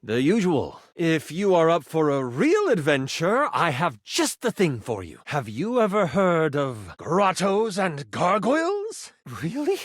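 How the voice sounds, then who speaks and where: A man's voice: a little high, huge pitch swings, unhurried, no room sound, clean studio sound, full character voice. A man voicing an eager, nerdy living twenty-sided die in a video game, a theatrical game chronicler with geeky, mock-archaic flourishes. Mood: grand storytelling. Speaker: game master character in a video game. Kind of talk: animated video game voice acting.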